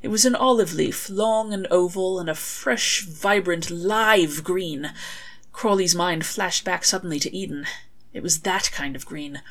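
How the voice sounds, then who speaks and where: A woman narrating a scripted story in an expressive, wry storytelling manner. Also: lowish voice, measured pace. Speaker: author reading own fan fiction.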